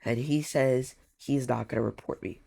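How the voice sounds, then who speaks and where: A young man tells a gaming storytime in a loose, slangy way, with the stuffed-up sound of a cold. His voice is gravelly at a middle pitch. He often trips over his words and repeats himself before moving on. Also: steady tone, moderate pace, darkish tone. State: congested from a cold. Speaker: gaming YouTuber. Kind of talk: casual storytime commentary.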